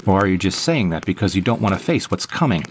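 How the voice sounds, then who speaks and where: A man's voice: sits low, big pitch swings, fast, a little hiss or hum. A man playing a hopeful, lovestruck male rebel in a sci-fi audio drama. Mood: tender.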